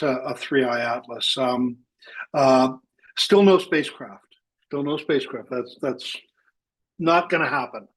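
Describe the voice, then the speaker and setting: A man's voice: a little high, husky, rough recording. A man from Wales, hosting a live astronomy radio show and talking with many fillers and restarts. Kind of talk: live radio science chat.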